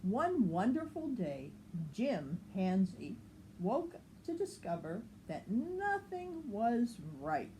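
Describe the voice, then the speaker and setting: A woman's voice: on the high side, sing-song, slow pace, warm tone, low-quality recording. An older woman, a grandmother, reading a children's picture book aloud, with noticeable background noise. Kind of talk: storybook read-aloud for children.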